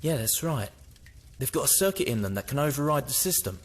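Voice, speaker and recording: An actor playing a wary rebel worker in an audio drama, earnest and explanatory. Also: male voice, medium pitch, animated, fairly quick, breathy edge. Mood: grave, informative.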